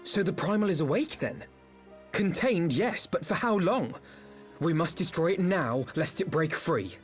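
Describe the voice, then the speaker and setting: An urgent, higher-voiced fantasy leader. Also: male voice, animated, measured pace, soft background music. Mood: urgent, alarmed. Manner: formal, urgent. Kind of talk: fantasy game voice acting.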